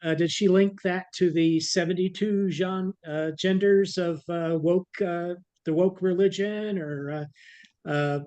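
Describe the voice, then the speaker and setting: A man with a somewhat high voice, joining by video call from the audience. He speaks haltingly, stumbling through many fillers and restarts as he asks his question. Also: fairly even intonation, a little mellow, clear, resonant tone, muffled recording. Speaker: audience member.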